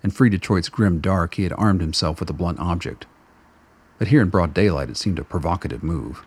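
A man narrating a radio play in a measured, descriptive storytelling manner. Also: low voice, slightly rough. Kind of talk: scripted narration.

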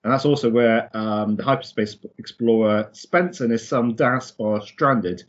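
A man's voice: mid-range voice, a little slow, highs cut off. A man co-hosting a podcast with a casual book recap, hesitant and often searching for words. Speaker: podcast co-host.

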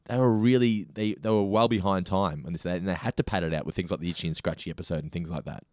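A man co-hosting a podcast, sounding stuffy from hay fever, in quick, lively casual chat. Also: low-pitched, dry recording, clean studio sound. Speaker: podcast co-host.

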